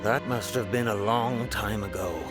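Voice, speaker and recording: An actor voicing a dutiful, skeptical city guard in a video game. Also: male voice, fairly low pitch, fairly even intonation, moderate pace, music in the background, natural voice. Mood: curious.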